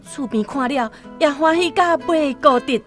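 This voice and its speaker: A Taiwanese woman narrating a literary radio drama in a storytelling style, over soft music. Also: high voice, normal speed.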